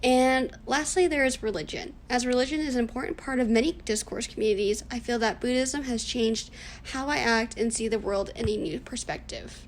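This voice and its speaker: A woman's voice: fairly high pitch, brisk, a little hiss or hum. A woman in her early twenties, a native Cantonese speaker raised in the United States, earnestly reading prepared answers in a class project interview. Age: 20s. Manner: earnest, scripted, reflective.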